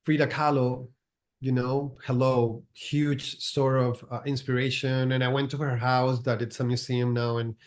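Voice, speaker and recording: A man's voice: medium pitch, level tone, a little slow. A man from Venezuela, a makeup artist guest chatting loosely with many fillers and restarts. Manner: rambling, lots of fillers, restarts and slips into Spanish. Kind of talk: casual interview chat.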